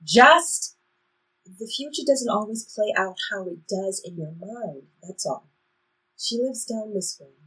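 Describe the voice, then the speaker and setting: A woman's voice: mid-range voice, expressive, slow, sharp tone, breathy edge, full character voice, low-quality recording. A woman playing a cautious, wry time-traveling guide who instructs, in a stage play reading. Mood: cautioning.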